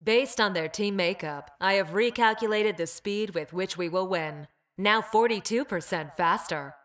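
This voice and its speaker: A woman's voice: fairly low pitch, a bit bright. A woman voicing a cool, calculating, condescending female scientist fighter in a video game, with a clipped, precise, clinical manner. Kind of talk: game character voice lines.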